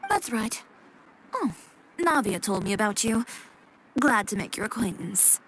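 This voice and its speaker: A woman's voice: mid-range voice, big pitch swings, a little slow, background noise. An actress playing a confident, businesslike young female boutique owner in an anime video game, matter-of-fact and dryly curt. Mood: polite, cool.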